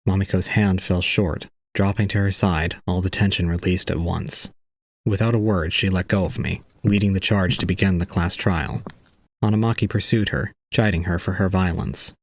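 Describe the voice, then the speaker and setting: A man playing a detached, resigned young student, narrating solemnly and calmly in an audio drama. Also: low voice, moderate pace, dry recording. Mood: solemn, pitying. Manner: solemn, calm, unemotional. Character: detached, resigned young male student recounting his own ordeal. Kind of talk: scripted first-person audio drama narration.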